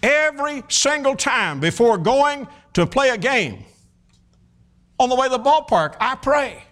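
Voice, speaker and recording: Animated folksy preacher, high lively voice. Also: male voice, measured pace. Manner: folksy, animated storytelling with many restarts and fillers. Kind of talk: sermon.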